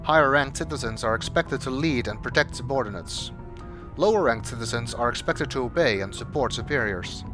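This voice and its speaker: A man reads scripted, documentary-style narration in a measured, formal, expository manner. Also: medium pitch, lively intonation. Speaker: amateur voice-over narrator. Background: background music.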